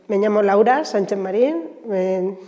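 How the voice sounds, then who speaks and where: A woman in her fifties introduces herself with a few hesitations. Her tone is warm and mellow, with room reverb around it. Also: medium pitch, expressive, measured pace, lo-fi sound. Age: 50s. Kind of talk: documentary interview testimony.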